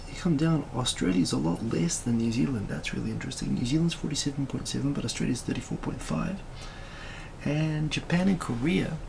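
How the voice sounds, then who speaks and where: Man, raspy and heavily gravelly, loose rambling live-stream host, steady hiss behind. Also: mid-range voice. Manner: rambling, many fillers and asides. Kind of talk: casual live-stream chat reading comments.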